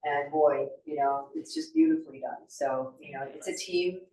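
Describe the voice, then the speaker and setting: A woman serving as an art show juror talks casually to a small group, filling her speech with fillers and encouragement. Her voice is somewhat low, and she is recorded from a distance. Faint noise sits behind her. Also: very animated, moderate pace, warm tone, roomy sound. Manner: chatty, filler-heavy, encouraging. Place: gallery room.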